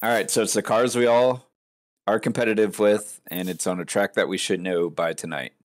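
A man's voice: fairly low pitch, steady tone, moderate pace, dry recording, studio quality. A man playing an online racing game and chatting casually with friends over race car engines. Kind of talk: casual gaming chat with friends. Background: race car engines from a video game.

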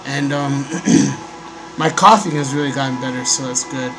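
Hoarse young man with a halting vlog delivery. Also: medium pitch, fairly even intonation. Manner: halting, many ums and throat clearing. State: hoarse from a cold. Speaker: host. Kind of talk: casual webcam vlog. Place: small bedroom, indoors. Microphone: desk microphone on a stand. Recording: noisy home recording.

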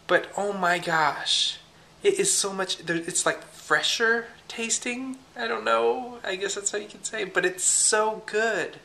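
Young woman rambling to her handheld cam at home, giggly, lots of likes, bright tone. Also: mid-range voice, measured pace, creaky. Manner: chatty and giggly, lots of fillers. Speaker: vlogger. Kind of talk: casual chat to camera. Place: home kitchen at night, then living room. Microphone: camera held at arm's length.

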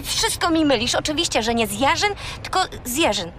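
Bossy girl for children's audio drama: high, animated, exasperated, forever correcting. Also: brisk, a little hiss or hum. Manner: scolding, correcting. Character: bossy, exasperated young girl scolding her furry companion. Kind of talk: children's radio play acting.

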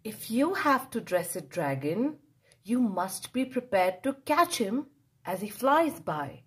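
A woman reading a picture book aloud to children, expressive and playful, drawing out key words for emphasis. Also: a little high, slow, slightly rough. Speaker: children's storyteller.

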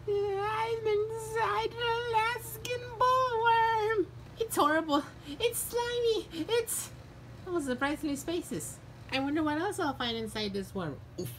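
Very squeaky, excitable cartoon sponge. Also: male voice, a little slow. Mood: disgusted, curious. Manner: exclamatory, whiny, dramatic. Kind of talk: amateur puppet-show cartoon parody. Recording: noisy homemade recording.

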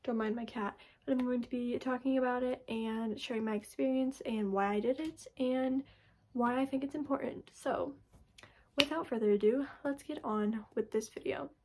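A young woman talks straight to a close camera in her bedroom, her voice a bit raspy as she recovers from being sick. She sits fairly high in pitch with a soft, mellow tone. She rambles loosely, padding her sentences with "like" and restarting them. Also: fairly even intonation, normal speed, a little hiss or hum. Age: young adult. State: raspy from recent illness. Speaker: vlogger. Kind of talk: casual personal vlog. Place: bedroom, indoors. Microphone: camera in front at close range.

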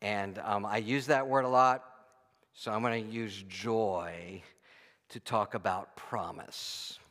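A man preaching an informal, conversational sermon, speaking haltingly with frequent pauses and restarts. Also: fairly low pitch, fairly even intonation, fairly bright tone. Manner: halting, often pausing and restarting. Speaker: pastor preaching. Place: church stage, indoors before a congregation. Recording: echoey hall, long reverb.

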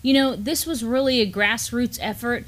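Higher-pitched middle-aged American woman co-hosting. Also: normal speed, fairly bright tone. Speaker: co-host of a community news show. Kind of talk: casual news chat. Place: indoor studio, seated at a desk.

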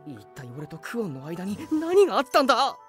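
Animated, flustered anime teenage boy. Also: mid-range voice, a little fast. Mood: shocked. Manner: stammering, excitable, reacts in outbursts. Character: flustered, earnest, good-natured teenage boy. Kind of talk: Japanese anime drama CD acting. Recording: faint music behind the voice. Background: faint background music.

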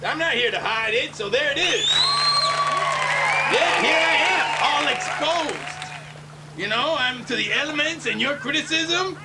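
Whiny defensive puppet hustler, super high voice, off the mic w crowd noise, comedy sketch. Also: male voice, animated, measured pace, recorded from a distance, heavily acted. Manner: whiny, defensive, slangy street-hawker patter. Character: insecure naked beach fortune-teller puppet dude, male.

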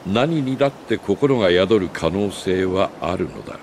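Wise solemn old game sage, super low gravelly voice, takes his time, grave and gentle. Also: animated, a little hiss or hum. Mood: solemn, earnest. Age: older. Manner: grave, measured, philosophical delivery with deliberate pauses. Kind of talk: Japanese video game cutscene acting.

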